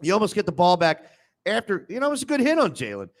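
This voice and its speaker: A man's voice: high-pitched, wildly swooping pitch, fast. A man hosting a casual sports talk show, speaking in an opinionated, emphatic way and cutting in on banter.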